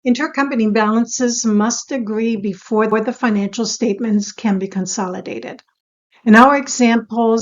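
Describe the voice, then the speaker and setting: Woman, fairly high voice, scripted instructional narration, measured delivery, slightly muffled recording. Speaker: accounting instructor.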